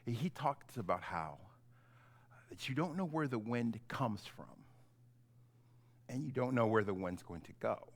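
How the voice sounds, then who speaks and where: A middle-aged man, a pastor, giving an informal, halting children's message in simple, conversational words. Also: medium pitch, expressive, breathy edge. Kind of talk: gentle children's sermon, informal storytelling.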